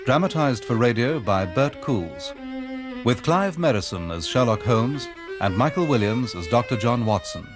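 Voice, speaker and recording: A man giving a formal, deliberate scripted announcement for a radio drama. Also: medium pitch, natural voice.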